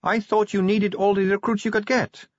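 A man's voice: sits high, flat delivery, normal speed, dry recording, muffled recording, voice pushed up, natural voice. A man voicing a young, boyish female vampire who is polite, earnest and forthright, in an audiobook story. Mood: earnest, pleading.

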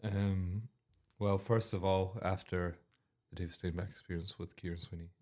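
A man's voice: low-pitched, little pitch movement, moderate pace, darkish tone. A young man co-hosting a student radio show, chatting casually and rambling, correcting himself and joking. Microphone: studio microphone.

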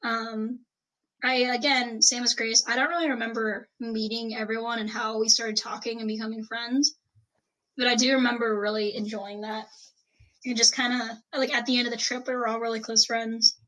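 A young woman speaking softly and hesitantly in a casual chat. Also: a little high, little pitch movement, moderate pace, rough recording. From United States.

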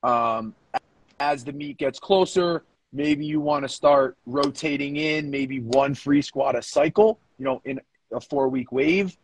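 A man's voice: mid-range voice, measured pace, slight background noise, poor audio. A man co-hosting a podcast in casual discussion, giving coaching opinions and repeating his points for emphasis.

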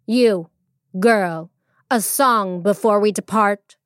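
A woman's voice: medium pitch, no room sound, clean studio sound, natural voice. A woman voicing an imperious tiger queen, menacing and commanding, in a children's story. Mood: imperious, impatient. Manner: commanding, slow and deliberate. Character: imperious female Tiger Queen, haughty, menacing big cat.

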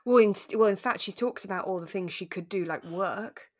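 A woman's voice: medium pitch, brisk, no echo, studio quality. A woman co-hosting a podcast in casual, playful chat, recapping a drama. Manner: chatty, playful. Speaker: podcast co-host.

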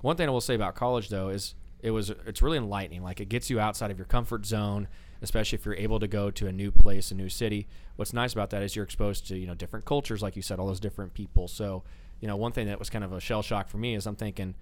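A man's voice: on the low side, steady tone, quick pace. A middle-aged man from Indiana, a teacher hosting a casual school podcast, who rambles with many restarts and fillers. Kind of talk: casual chat.

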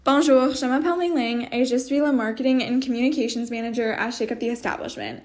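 A native English-speaking woman with an Ontario anglophone accent hosts a podcast in French. Her voice sits a little high and she reads in a careful, measured way. The recording sounds echoey. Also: a little mellow. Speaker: podcast host. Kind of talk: reading scripted introduction, then interviewing.

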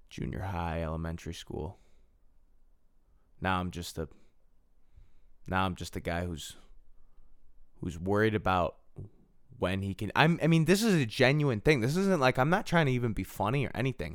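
A young man in his twenties talks through a casual solo podcast, circling back over his own phrases and laughing at himself. His voice sits low and comes across smooth and resonant. The sound is close and dry, with no room echo. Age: 20s. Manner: rambling, self-deprecating, repeats and restarts phrases. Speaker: podcast host.

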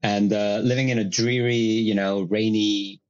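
Wry relaxed man on a lo-fi call. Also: lowish voice, unhurried. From Italy. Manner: relaxed, wry, self-deprecating storyteller. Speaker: podcast guest. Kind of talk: casual conversation. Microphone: video call. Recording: dull lo-fi video call audio.

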